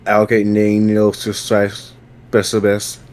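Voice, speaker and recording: A man's voice: fairly low pitch, monotone, normal speed, a little mellow. A man taking part in a dramatic reading, delivering dialogue and short commands in a casual style. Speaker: reader in a group dramatic reading.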